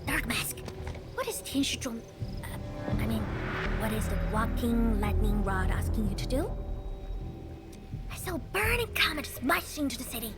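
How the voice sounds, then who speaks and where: A woman voicing a brash, boastful, playful young feline girl in a game, childlike and excitable. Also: normal speed, pauses often, lightly acted. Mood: curious. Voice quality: childlike, high. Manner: cocky, excitable, exclaiming. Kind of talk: anime game voice acting. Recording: loud game music behind.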